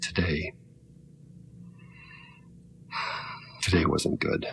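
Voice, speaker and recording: A man acting a weary, worried space-station medical officer in a video game, speaking a personal log haltingly in a gravelly voice. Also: deep voice, little pitch movement, slight background noise. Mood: grave, tired. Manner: halting, hesitant delivery.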